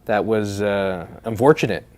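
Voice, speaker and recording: Easygoing male podcast host with a smooth voice. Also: on the low side, measured pace, darkish tone. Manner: easygoing, asks questions, frequent "uh". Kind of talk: casual podcast chat.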